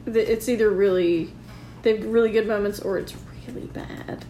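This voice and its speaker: A woman's voice: mid-range voice, steady tone, moderate pace, warm tone. Woman podcast co-host, blunt, swears, repeats herself, echoey room. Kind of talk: casual chat about wrestling. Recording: echoey room with faint background noise.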